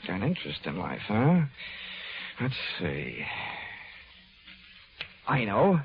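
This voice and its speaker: An actor playing a cocky, wisecracking American insurance investigator in an old-time radio detective drama, with a wry, punchy delivery. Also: male voice, fairly low pitch, wildly swooping pitch, slow pace, gravelly, full character voice. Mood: breezy, confident. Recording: dull vintage radio recording.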